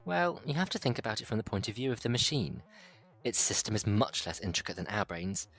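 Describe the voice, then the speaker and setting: A breezy scientist with animated intonation. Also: medium pitch, a little fast, husky, exaggerated voice. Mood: matter-of-fact. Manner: matter-of-fact, explanatory, offhand. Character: breezy, noncommittal adult scientist who explains machines. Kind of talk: audio play acting.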